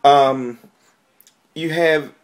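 A man co-hosts a casual conversation, laughing often and restarting his sentences as he searches for the right word. His voice is a little high for a man, and his talk is loose and unscripted. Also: fairly even intonation, very slow. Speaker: podcast co-host. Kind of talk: casual discussion.

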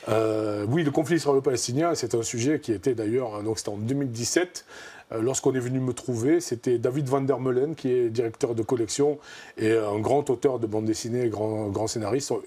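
A man in his fifties with a southwestern French accent speaks in a mellow, slightly husky voice. He talks thoughtfully and casually as a studio guest, with many fillers, on a lapel mic. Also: medium pitch, brisk. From southern France. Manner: thoughtful, gestures while talking, many fillers. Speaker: comic artist guest.

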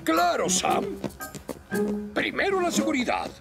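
A man's voice: extremely high pitch, huge pitch swings, slow, vocal fry, loud music behind the voice, heavily acted. A man voicing a stern, pompous older fire officer in a cartoon dub. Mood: brisk, officious. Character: stern, fussy, self-important older fire station officer. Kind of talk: animated children's cartoon dub.

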